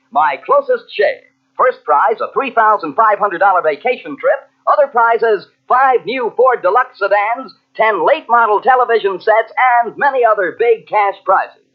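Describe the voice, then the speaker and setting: A man announcing on 1940s radio in a punchy, formal promotional style. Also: high voice. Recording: muffled old radio recording.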